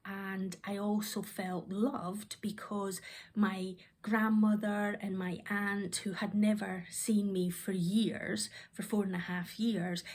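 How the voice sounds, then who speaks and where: A Scottish woman in her fifties telling stories from her own life in a thoughtful, rambling way, often pausing on "um" and restarting her sentences. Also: mid-range voice, a little mellow, breathy edge. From Scotland. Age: 50s. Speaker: vlogger. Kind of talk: reflective personal storytelling.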